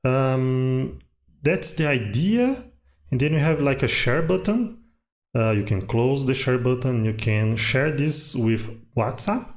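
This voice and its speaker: Portuguese-speaking guy walking through code, slow and easygoing, lots of ums. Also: medium pitch. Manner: unhurried, thinking aloud with many fillers. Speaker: coding tutorial host. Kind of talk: casual screen-recorded coding tutorial.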